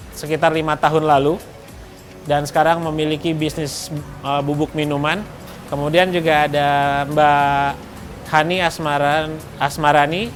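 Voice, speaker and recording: Higher-pitched man explaining his business. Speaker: restaurant co-owner being interviewed. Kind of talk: interview talk, explaining a business.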